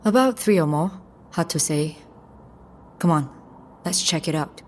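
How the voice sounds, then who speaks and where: A woman playing a cool, focused young infiltrator with secret powers, narrating an audio drama in a measured, matter-of-fact way. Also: sits low, lively intonation, slight background noise. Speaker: narrator and lead character.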